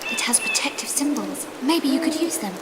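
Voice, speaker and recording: Earnest young girl, high voice. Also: wildly swooping pitch, moderate pace, noisy background. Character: curious, earnest teenage girl, daughter-like to a priest. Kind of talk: video game cutscene acting.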